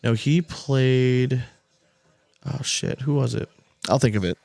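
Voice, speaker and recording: A man's voice: mid-range voice, steady tone, slow, vocal fry, a little mellow, dry recording. An American man co-hosting a podcast in casual, informal conversation with colloquial wording. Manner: informal, colloquial, mildly profane. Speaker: podcast co-host. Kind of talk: casual chat, asking questions.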